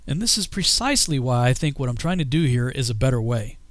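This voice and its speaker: A man's voice: mid-range voice, animated, fast, dry recording. Man narrating, dry close sound. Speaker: filmmaker and YouTuber narrating his own video. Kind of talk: scripted personal narration with a fundraising appeal.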